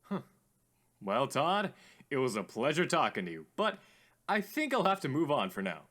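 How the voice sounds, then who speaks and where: A man playing a courteous, theatrical radio call-in host with formal, drawn-out greetings in a radio drama. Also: on the high side, huge pitch swings, a bit bright, heavily acted. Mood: thoughtful.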